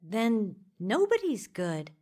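A woman's voice: medium pitch, wildly swooping pitch, takes it slowly, dark tone, husky, full character voice. An actress playing a troubled young female college student in an audio drama, with a hesitant, questioning manner. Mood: searching.

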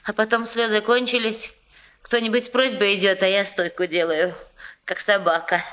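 An actress playing a warm, excitable young working woman in a Soviet radio drama, chatting in a colloquial, gushing way. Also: mid-range voice, moderate pace, smooth, lightly acted. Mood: frank, chatty.

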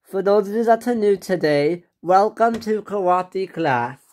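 A performer puts on a karate instructor for a pretend-play story. The voice is medium in pitch and unhurried, with a polite but insistent teaching manner. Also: a little mellow, close to an everyday voice. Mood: instructing.

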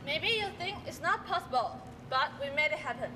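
A woman's voice: high voice, animated, brisk. A young woman performing in a student stage skit, reciting her lines earnestly. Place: large school auditorium stage. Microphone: far stage microphones. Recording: distant, noisy hall recording.

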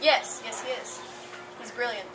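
A woman's voice: on the high side, measured pace, far from the mic, crowd noise. A young woman chatting casually and informally as a student showing animals. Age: teens to young adult. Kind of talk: casual chat. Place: outdoors by a livestock trailer. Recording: very noisy outdoor sound, off mic.

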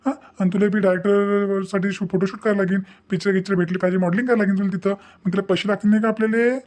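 A man's voice: sits high, level tone, rapid-fire, a little mellow, natural voice. A man voicing a pushy, glib young village boyfriend in a cartoon, with a Vidarbha Marathi accent. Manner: coaxing, glib. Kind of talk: amateur cartoon dialogue.

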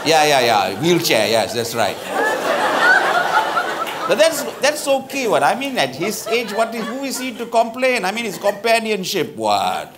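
A tactless middle-aged Malaysian lawyer in a stage comedy. His voice sits fairly high and swings up and down with animation, blunt and chatty, full of colloquial particles. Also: wildly swooping pitch, a little slow, over a noisy crowd, very noisy, exaggerated voice. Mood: teasing, gossipy. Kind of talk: live stage comedy sketch. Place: theatre stage with bar set, live audience. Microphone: lapel mic.

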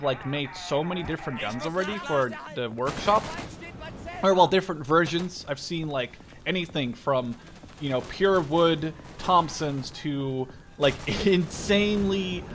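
Higher-voiced young man over loud gunfire. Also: noisy background. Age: 20s. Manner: excitable, sweary, rambling. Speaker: gamer, video host. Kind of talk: gameplay commentary, casual. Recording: loud game gunfire behind the voice. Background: gunfire and machine guns from game.

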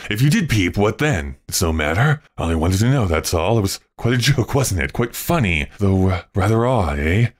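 A man's voice: fairly low pitch, very animated, moderate pace, few pauses. A man voicing a menacing, murderous barber from a Victorian melodrama, barking threats and putting on oily politeness. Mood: forced jocularity. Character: menacing murderous barber, false jocularity, gruff temper. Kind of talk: Victorian melodrama storybook character reading.

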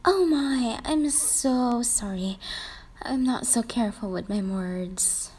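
A woman's voice: on the high side, animated, slow, a little mellow, slightly rough, heavily acted. A woman voicing a catty, lovesick schoolgirl rival with an affected, sugary manner in a romantic roleplay. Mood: mock apologetic. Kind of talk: romantic fan-fiction roleplay.

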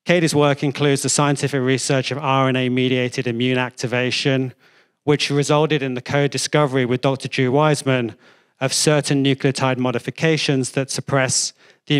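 A man's voice: medium pitch, clean tone. A middle-aged man reading a formal scripted introduction as an event host into a handheld microphone. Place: lecture hall. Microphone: handheld microphone at a podium.

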